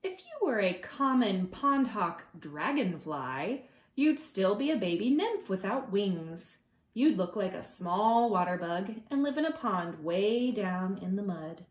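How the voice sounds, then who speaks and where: A woman reading aloud to preschoolers as their teacher, expressive and gentle, drawing out words and adding conversational asides. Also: mid-range voice, reverberant. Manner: gentle, deliberate, drawing out words for young listeners.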